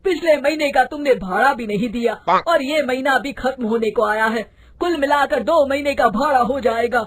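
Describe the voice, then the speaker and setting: A woman's voice: high voice, normal speed, husky. A woman voicing a stern landlady in a storybook tale, insistent and threatening in manner. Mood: stern, demanding. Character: stern, demanding female landlady of a dhaba. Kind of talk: animated Hindi storybook voice acting.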